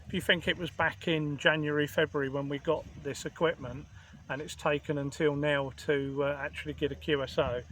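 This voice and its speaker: A man chatting as an amateur radio operator, speaking in a hesitant, measured way. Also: on the high side, level tone, fairly bright tone, low-quality recording. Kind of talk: casual radio contact chat. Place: outdoors at a portable radio station. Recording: clear outdoor background noise.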